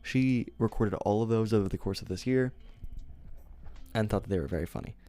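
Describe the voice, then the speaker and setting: A man's voice: on the low side, a little fast, dark tone. A young man streaming, chatting casually and rambling, often correcting himself and laughing.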